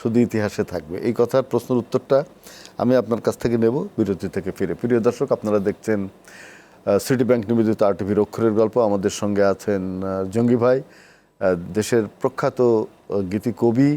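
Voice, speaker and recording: A man's voice: lowish voice, brisk, mellow. A man with a Bangladeshi accent hosts a cultural talk show, speaking conversationally as he puts questions to his guest. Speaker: TV talk show host, poet. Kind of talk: conversational cultural interview hosting.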